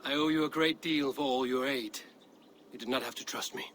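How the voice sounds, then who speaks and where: A man's voice: medium pitch, fairly even intonation, brisk, a bit bright, slight background noise, natural voice. A man playing a proud, blunt Norse warrior in a video game, speaking in terse, formal phrases. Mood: earnest. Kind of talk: dramatic video game acting.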